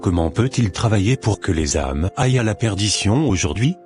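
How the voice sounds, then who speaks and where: Guy playing a righteous earnest church brother in a cartoon, really low voice, music under it. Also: expressive, moderate pace, darkish tone, lightly acted. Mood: indignant, dismayed. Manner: solemn, indignant. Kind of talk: amateur cartoon monologue and dialogue. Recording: music behind the voice.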